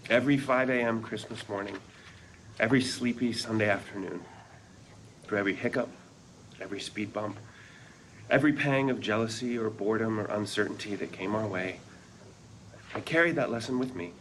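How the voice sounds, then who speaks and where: A low-voiced, wistful father played by a man tells his love story as a drama narration. He is earnest and measured, reflecting tenderly on his life. The recording is live, with clear noise around the voice. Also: a bit bright, natural voice. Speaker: actor at a script read-through. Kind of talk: reflective first-person scripted narration. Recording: noisy live room recording.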